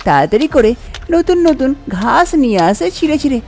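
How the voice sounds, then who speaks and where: A woman's voice: high-pitched, big pitch swings, fast talker, darkish tone. A woman storyteller reading scripted narration for a cartoon folk tale.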